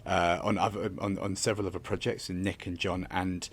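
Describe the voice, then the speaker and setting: A man speaking as a theatre director in a reflective interview, halting and often repeating words as he works out his thoughts. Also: low voice, level tone, husky.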